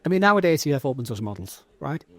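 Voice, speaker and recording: A man's voice: a little high, big pitch swings, fast talker, no room sound. Man panelist, emphatic and repetitive. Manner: repetitive, emphatic, restarting phrases. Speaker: panelist, tech business director. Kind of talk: conference panel discussion. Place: conference stage.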